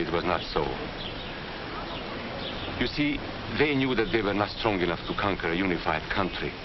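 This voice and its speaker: Actor as earnest deep-voiced professor. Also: male voice, noisy background, natural voice. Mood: earnest warning. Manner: earnest, deliberate, didactic. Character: Hungarian-born former professor warning against Nazi hatred. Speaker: narrator recounting his past. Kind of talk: 1940s educational film acting. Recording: dull 1940s film soundtrack, music behind.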